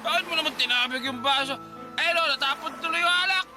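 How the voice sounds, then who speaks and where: A man's voice: very high voice, quick pace, over loud music. A man playing a pushy, leering, over-familiar drinking buddy in a radio drama. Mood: annoyed.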